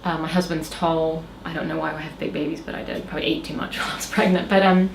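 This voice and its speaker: American woman, thirties, faint Irish lilt, lowish voice, halting guest storyteller; roomy recording with faint hiss. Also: steady tone, creaky. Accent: American with a slight Irish lilt. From Oklahoma, USA. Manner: hesitant, many fillers and restarts. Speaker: interview guest. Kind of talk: casual personal storytelling. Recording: roomy echo, faint background noise.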